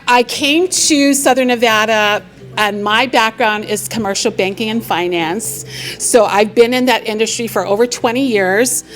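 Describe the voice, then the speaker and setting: A middle-aged woman from Hawaii speaks from the stage at a live business event, her voice sitting a little high. She is upbeat and keeps the crowd involved with questions. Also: a bit bright. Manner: upbeat, engaging the audience with questions. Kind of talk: live presentation to an audience.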